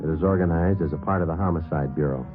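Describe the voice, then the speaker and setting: A man's voice: very deep voice, fast talker, phone-quality sound. A man acting a terse, unflappable police sergeant with an American accent in a 1950s radio drama, clipped and matter-of-fact. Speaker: actor playing lead police detective and narrator. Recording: band-limited old radio recording with music.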